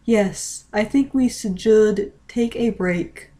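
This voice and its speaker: A woman's voice: medium pitch, takes it slowly, dark tone, close to an everyday voice. A woman voicing a sad, guilt-ridden, lovesick teenage girl in a storybook read-along, tearful and hesitant.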